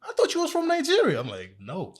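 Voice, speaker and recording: A man's voice: very high voice, huge pitch swings, a little fast. A man from Kenya in casual conversation, easygoing and often murmuring agreement.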